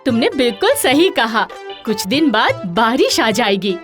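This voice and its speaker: A woman playing a naive, chatty potter's wife in an animated story, effusive and complaining. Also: a little high, a little slow, over music. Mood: admiring, coaxing. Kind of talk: animated moral story acting.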